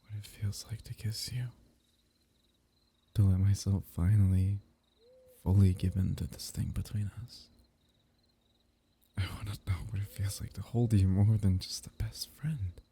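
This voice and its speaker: A young man speaking softly and intimately in an ASMR roleplay, teasing and sometimes dropping into a whisper. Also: on the low side, steady tone, a little slow, pauses often, slightly rough.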